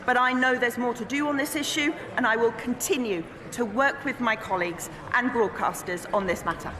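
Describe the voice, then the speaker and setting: A woman with a Welsh accent, a government minister giving formal, measured answers in a parliamentary debating chamber. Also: a little high. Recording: echoey chamber with murmuring crowd.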